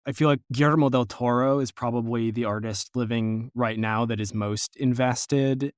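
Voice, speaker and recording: A man's voice: medium pitch, fairly even intonation, moderate pace, clean tone, dry recording, clean studio sound. A man hosting an interview podcast in a conversational, wordy and playfully wry way. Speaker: podcast host.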